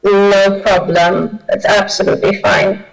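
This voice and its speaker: A woman's voice: medium pitch, level tone, measured pace, creaky, a crowd in the background, low-quality recording. A woman from Kenya, a guest speaker on a video call, answering audience questions with many fillers and restarts. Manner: hesitant, with frequent fillers and restarts.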